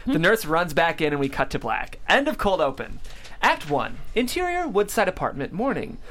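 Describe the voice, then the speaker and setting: A lively narrator with a medium voice and a varied pitch reads out scene headings and stage directions for a comedy script. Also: moderate pace.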